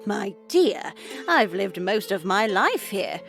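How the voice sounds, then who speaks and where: A woman voicing a gentle, wry older nun in a visual novel game, speaking in a kindly, measured way. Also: medium pitch, big pitch swings, vocal fry, faint music behind, full character voice. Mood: serene. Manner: kindly, measured, dryly humorous.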